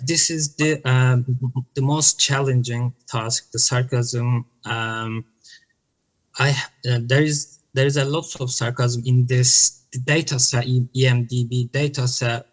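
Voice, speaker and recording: A man in his thirties with a Turkish accent, answering questions on a video call in halting English with many restarts. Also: mid-range voice, fairly even intonation, rough recording. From Turkey. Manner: halting, with frequent restarts and fillers. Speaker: conference presenter. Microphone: laptop webcam mic on a video call.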